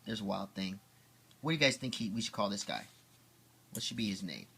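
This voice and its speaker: A man reading a children's story aloud as a father, with theatrical, drawn-out emphasis and chatty asides. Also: on the low side, expressive, vocal fry, fairly bright tone, husky.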